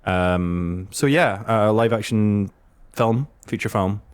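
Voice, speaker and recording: Male panelist, lowish voice, casual explainer who often pauses mid-thought. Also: clear, resonant tone. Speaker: podcast panelist. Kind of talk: casual discussion.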